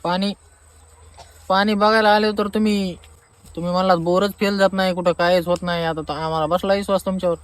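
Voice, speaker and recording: Man playing a high-pitched, exasperated Marathi farmer. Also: flat delivery, fairly quick, noisy background, close to an everyday voice. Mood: sarcastic, bitter. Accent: Marathi, rural Maharashtra. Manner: exasperated, sarcastic, complaining. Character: aggrieved, sarcastic male farmer demanding refund. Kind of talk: staged comic phone-call argument.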